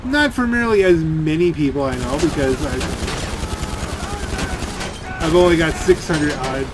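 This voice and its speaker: A man's voice: on the high side, expressive, measured pace. A man rambling through off-the-cuff gameplay commentary in a grumbling, sardonic way. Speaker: gaming video creator. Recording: clear game vehicle and gunfire noise. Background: video game driving and shooting sounds.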